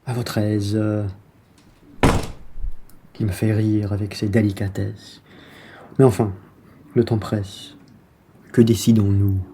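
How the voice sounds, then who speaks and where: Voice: mid-range voice, level tone, takes it slowly, pauses often, background noise. An actor playing a blunt, irritable, self-serving bourgeois traveller in a French radio play, with a brusque manner. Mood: impatient.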